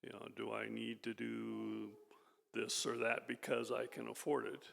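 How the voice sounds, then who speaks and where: Man, class participant, reflective and halting, heavy vocal fry, captured in a reverberant meeting room. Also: lowish voice, completely flat delivery, fairly bright tone. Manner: halting, repeats words. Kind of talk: reflective discussion comment.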